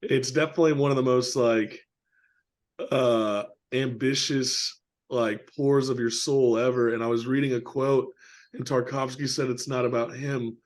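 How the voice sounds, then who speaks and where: Dude with an average pitched voice cohosting a movie chat, halting, keeps searching for words. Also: male voice, fairly even intonation. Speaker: podcast co-host. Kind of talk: casual film discussion.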